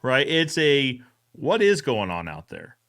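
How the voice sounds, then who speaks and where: A man's voice: mid-range voice, huge pitch swings, measured pace, a bit bright. A man hosting a casual, conversational recap show. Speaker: podcast host.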